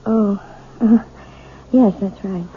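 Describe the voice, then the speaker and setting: American woman piano teacher, gentle, husky, radio drama, tinny vintage broadcast. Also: mid-range voice, fairly even intonation, slow pace. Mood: evasive, flustered. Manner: gentle, encouraging. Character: kindly, evasive suburban woman piano teacher. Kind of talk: 1970s radio drama acting. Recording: thin, phone-like vintage radio sound.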